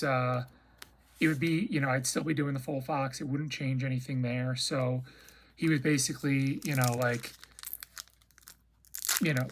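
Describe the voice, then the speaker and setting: A man with a raspy, slightly rough voice talks casually to his viewers. He pitches his voice in a middling range. He rambles, pauses on fillers and often restarts his sentences. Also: a bit bright. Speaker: vlogger. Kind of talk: casual personal update chat.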